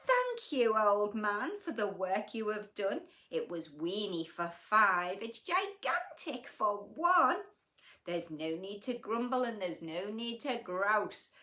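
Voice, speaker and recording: A woman's voice: mid-range voice, sing-song, slow, bright, forceful tone, exaggerated voice. A woman voicing a fussy little old lady who exclaims shrilly and frets about her crowded house. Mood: delighted.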